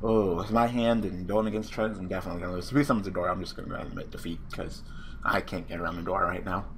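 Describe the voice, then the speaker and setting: A young man with a hoarse, worn-out voice commentating a card game online, swearing and ranting casually. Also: deep voice, fast, slight background noise. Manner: profane, rambling, excitable rants. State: hoarse, losing his voice. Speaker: gaming channel host.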